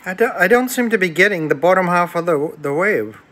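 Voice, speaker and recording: A man's voice: high-pitched, lively intonation, a little fast, fairly bright tone, a little hiss or hum. A man giving casual, hands-on commentary as he demonstrates electronics at an indoor workbench. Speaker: electronics hobbyist presenter. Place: small indoor room at a workbench.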